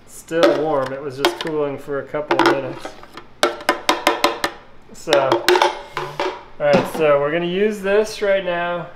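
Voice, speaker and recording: A man's voice: fairly high pitch, slow pace, pauses often, over loud music. A middle-aged man casually talking through a cooking demo in his home kitchen, explaining each step as he works. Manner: relaxed, talks through each step while working. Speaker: home cook vlogger. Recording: kitchen clatter and dish noise behind.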